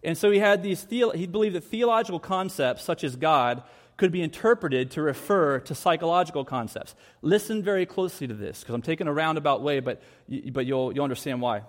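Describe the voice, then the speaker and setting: A man's voice: a little high, animated, a little fast. A man from the United States preaching a sermon in church, earnest and repeating key phrases for emphasis. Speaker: pastor.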